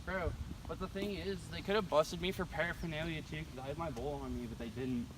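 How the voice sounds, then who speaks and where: A man's voice: a little high, steady tone, brisk. Young American guy, noisy casual recording. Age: teens to young adult. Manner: slangy, profane. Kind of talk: casual chat, storytelling among friends. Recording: noisy amateur recording with clear background noise.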